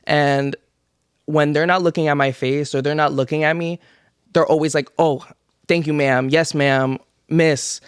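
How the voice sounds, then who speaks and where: A man's voice: on the high side, steady tone, a little slow, smooth, dry recording, studio quality. A man who is a guest on a podcast, speaking in a confident, matter-of-fact way. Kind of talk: casual chat.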